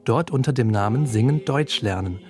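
A man's voice: medium pitch, animated, moderate pace, over music. A man narrating a scripted news feature as a reporter. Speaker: radio reporter.

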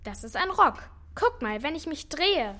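A young schoolgirl in a radio play, high-pitched and enthusiastic. She sounds proud and eager to show off. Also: big pitch swings, normal speed, bright, forceful tone, slight background noise, full character voice. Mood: excited, proud.

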